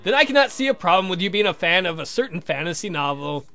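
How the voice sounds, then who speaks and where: A man's voice: sits high, very animated, fast talker, sharp tone, soft background music, full character voice. A man voicing a suave, haughty vampire count from a visual novel, speaking in a formal, courtly, pompous way. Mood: formal, dry. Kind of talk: theatrical visual novel character reading.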